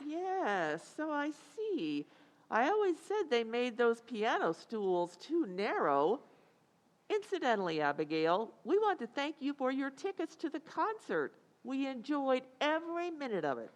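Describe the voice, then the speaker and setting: A long-suffering wife, acted by a woman in an old-time radio comedy. She chides and teases wryly in a fairly high voice with very animated intonation. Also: a little slow. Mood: wry, teasing. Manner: dry, chiding, wry.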